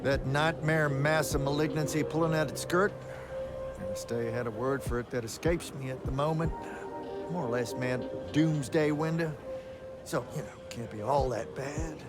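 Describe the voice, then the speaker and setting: A man's voice: on the high side, moderate pace, close to an everyday voice. Man as a folksy, world-weary battlemage mentor. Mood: bitter, weary. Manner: wry, folksy, colloquial. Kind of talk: dramatic fantasy video game acting. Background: music.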